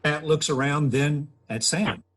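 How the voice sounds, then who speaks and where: Husky man reading stage directions. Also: fairly high pitch, unhurried. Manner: plain, steady read of scene directions.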